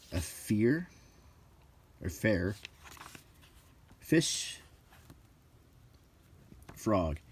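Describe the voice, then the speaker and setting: A middle-aged man rambling casually in a halting, unhurried way as he browses a book, with dry asides. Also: lowish voice, a little mellow, slight background noise. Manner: unhurried, halting, dryly joking. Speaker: hobbyist video presenter.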